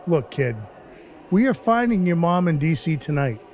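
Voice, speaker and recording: A man playing a gruff, soft-hearted dwarf who works as Santa's helper, narrating his own story in an audio play in a wry, hard-boiled way. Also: on the high side, big pitch swings, normal speed, slight background noise. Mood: steady, resolved. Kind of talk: first-person audio play narration and dialogue. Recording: music under the voice. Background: music.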